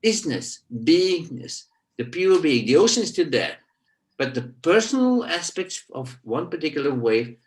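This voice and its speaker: A man in his sixties from Belgium, speaking English as an in reflective spoken teaching. He searches for words and his voice jumps up on key points. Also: unhurried. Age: 60s. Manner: animated, pitch leaps on emphasis, searching for words. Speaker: guest, nonduality teacher.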